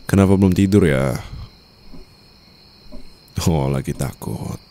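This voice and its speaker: A man playing a cool, controlling young mafia boss and new husband in an ASMR roleplay, composed, formal and quietly commanding. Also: extremely low pitch, slow, exaggerated voice. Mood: polite, reassuring. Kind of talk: Indonesian ASMR roleplay acting.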